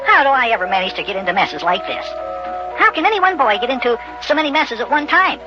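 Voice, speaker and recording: A man's voice: very high voice, big pitch swings, quick pace, over music, pitched above the performer's own voice. A man voicing a fretful little elf boy in an old-time radio comedy, his voice sped up, muttering and protesting. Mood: dismayed, fretful. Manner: hesitant muttering, exclamatory protests. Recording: dull vintage radio recording.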